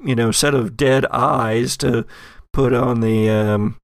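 Man playing a flippant, laid-back undead bro, gravelly. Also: medium pitch, steady tone, takes it slowly, no room sound, studio quality. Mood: chummy ribbing. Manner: slangy, flippant, easygoing. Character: laid-back dead bro, talking severed head of corpse.

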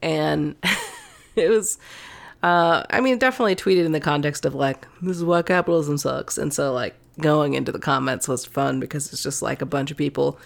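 A woman with a fairly low voice co-hosts a casual political podcast. She talks sardonically and laughs often between points. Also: moderate pace, creaky. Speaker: podcast co-host.

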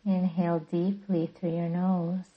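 A woman guiding a meditation as a podcast host, speaking gently and deliberately in a soothing, breathy voice. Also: lowish voice, level tone, dull audio. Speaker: yoga nidra guide and podcast host. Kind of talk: guided meditation narration.

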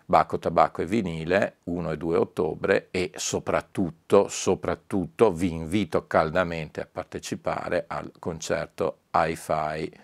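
A man presenting news as a record label owner in an informal promotional video, speaking emphatically and deliberately and repeating key words for weight. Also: low voice, steady tone, gravelly, no room sound.

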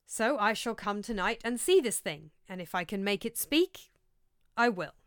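A woman's voice: mid-range voice, expressive, moderate pace, clear, resonant tone, dry recording, studio quality, natural voice. A woman voicing an anguished, reckless young prince in a storybook reading, with a dramatic, questioning delivery. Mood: brooding resolve.

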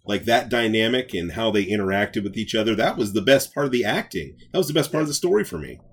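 Man, lowish pitch, highly varied intonation, blunt, conversational, podcast co-host, informal review talk. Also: animated, fast talker, breathy edge. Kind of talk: casual review chat.

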